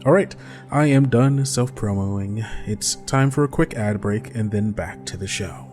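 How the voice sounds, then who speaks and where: A man's voice: on the low side, unhurried, darkish tone, soft background music. A man hosting an actual play podcast, giving an upbeat promotional read that appeals directly to listeners. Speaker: podcast host.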